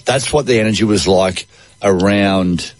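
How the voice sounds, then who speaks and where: A middle-aged Australian man talks sport as a radio host, matey and conversational. His voice sits fairly low, and the sound is close and dry, with little room on it. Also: measured pace, no echo. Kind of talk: casual radio sports chat. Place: radio studio.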